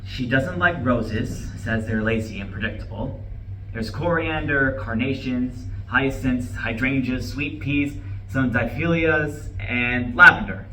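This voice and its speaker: A man's voice: medium pitch, takes it slowly, pushed and bright. A man in a live play reading, playing a nervous, self-justifying husband who rambles and corrects himself. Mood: eager, rambling. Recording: echoey distant live room recording, faint noise.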